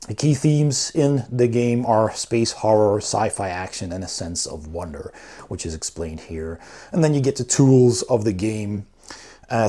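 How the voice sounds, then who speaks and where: A man's voice: fairly low pitch, mellow. A man giving a casual, conversational walkthrough as a game reviewer.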